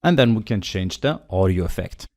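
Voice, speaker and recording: A man walks through a programming tutorial, explaining each step in a steady, matter-of-fact way as he types. His voice sits on the low side and is recorded close and dry, with almost no room sound. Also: moderate pace, no room sound. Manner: steady explanatory, thinking aloud while typing. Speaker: coding tutorial instructor. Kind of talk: screen-recorded coding walkthrough.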